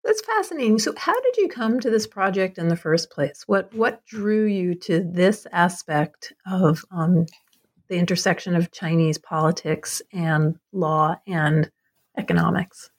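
Engaged woman host, lowish voice. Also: lively intonation, a little slow. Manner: engaged, some stumbles. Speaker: podcast host. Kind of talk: interviewing an author.

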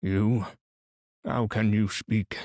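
Man acting an aggrieved, slow-spoken widower. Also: lowish voice, steady tone, darkish tone, dry recording, clean studio sound. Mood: defiant, agitated. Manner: murmuring grief, affronted outbursts. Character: mournful, indignant widower under suspicion. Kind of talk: audiobook character voice.

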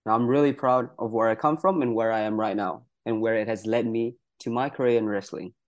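Young male wrestler from Malaysia, earnest and proud, mid-pitch, filler-heavy casual answers. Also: steady tone, a little fast. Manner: earnest, proud, many "you know" fillers. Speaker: pro wrestler guest.

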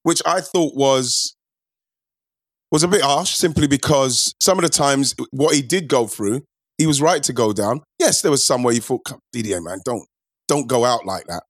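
Male podcast host, casual and warm-hearted, mid pitch, dry studio sound. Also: moderate pace, no room sound. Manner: laid-back, affectionate, lots of "yes" and "nice one". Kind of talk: casual football chat.

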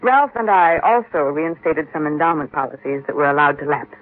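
A woman's voice: low voice, expressive, brisk. An actress playing a composed, firm stepmother in an old-time radio drama. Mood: earnest, composed. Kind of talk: 1940s-50s radio drama acting. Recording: tinny old radio recording.

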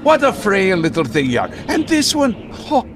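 Gleeful mad god, shrill and swooping. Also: male voice, huge pitch swings, normal speed, noisy background. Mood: manic mockery. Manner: flamboyant, lurching from sing-song glee to menace. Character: gleefully deranged male mad god, mocking and bloodthirsty. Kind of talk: old-time radio drama acting.

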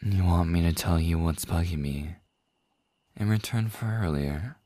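A man acting a tender, lovestruck boss in an intimate boyfriend roleplay, speaking softly and hesitantly with a husky, breathy voice. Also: sits low, dry recording. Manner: soft, hesitant, slow with long pauses. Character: tender, lovestruck male boss confessing to an employee. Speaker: audio roleplay performer.

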